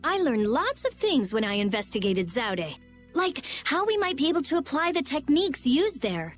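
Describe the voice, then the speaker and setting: A prickly teenage mage from a video game. She is high and highly expressive, blunt and impatient, with faint music underneath. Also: moderate pace. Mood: intent. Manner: blunt, snappy, absorbed. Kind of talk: animated video game acting.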